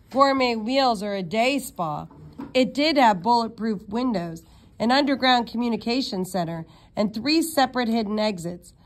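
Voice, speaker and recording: A woman reading a children's novel aloud in an expressive storytelling manner, now and then stumbling and re-reading words. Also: a little high. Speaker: read-aloud narrator.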